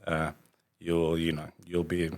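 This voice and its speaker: Male guest, thirties, Honduran accent, deep voice, casual unhurried storytelling with halting phrases. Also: monotone. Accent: Honduran Spanish. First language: Spanish. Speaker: podcast guest, restaurateur.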